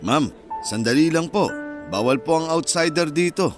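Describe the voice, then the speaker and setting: An actor in a Filipino radio drama playing a stubborn university security guard who is polite, firm and by the book. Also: male voice, fairly high pitch, animated, normal speed, music in the background, close to an everyday voice.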